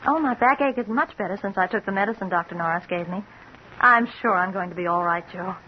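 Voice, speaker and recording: Gentle, anxious radio-drama wife, played by a woman. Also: mid-range voice, quick pace, lightly acted. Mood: anxious, affectionate. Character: gentle, anxious ailing wife, trusting and affectionate. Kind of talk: 1950s radio drama acting. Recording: muffled, hissy vintage radio recording.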